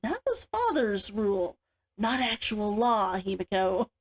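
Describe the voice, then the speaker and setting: A woman's voice: medium pitch, very animated, measured pace. A woman reading the part of a stubborn, defiant younger sister in a storybook, cool and firm.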